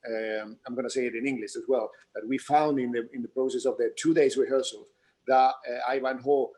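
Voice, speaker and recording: A man's voice: medium pitch, steady tone, fairly quick, a little mellow. A man with a Spanish accent, a theatre director speaking off the cuff in a Q&A, repeating words as he explains. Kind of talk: unscripted Q&A discussion.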